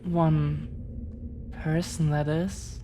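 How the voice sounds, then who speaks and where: A woman playing an affectionate, earnest girlfriend of dragon descent in an intimate roleplay audio, speaking gently with lingering pauses. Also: sits low, flat delivery, slight background noise. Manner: gentle, hesitant, lingering pauses between phrases.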